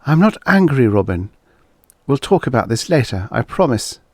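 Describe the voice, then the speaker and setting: A man's voice: medium pitch, very animated, moderate pace, no room sound, clean studio sound, pitched above the performer's own voice. A man voicing a distraught, stern mother near forty in a story reading, speaking with anguished urgency. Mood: choked, placating.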